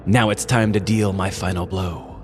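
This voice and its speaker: A man's voice: low-pitched, fairly even intonation, moderate pace, long reverb tail, soft background music, lightly acted. A man playing a belligerent, self-important single father who rants in grandiose, profane style as a crank caller in a comedy story. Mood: grandiose triumph.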